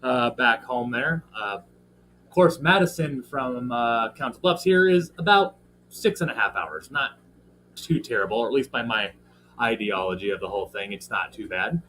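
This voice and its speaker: A man's voice: mid-range voice, expressive, moderate pace. A man with a slightly scratchy voice, hosting a casual livestream and talking in a rambling way with lots of fillers while greeting viewers in the chat. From American Midwest. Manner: rambling, filler-heavy, friendly shout-outs to chat. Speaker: livestream host. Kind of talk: casual live stream chat.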